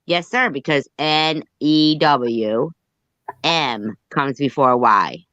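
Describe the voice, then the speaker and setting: A man's voice: mid-range voice, slow pace, sharp tone, no echo, muffled recording. A man in casual chat who argues each point and spells out letters to prove it.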